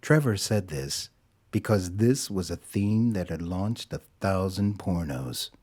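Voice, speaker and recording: A man narrating a scripted story in a dramatic, deliberate storytelling manner. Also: sits low, lively intonation, a little mellow.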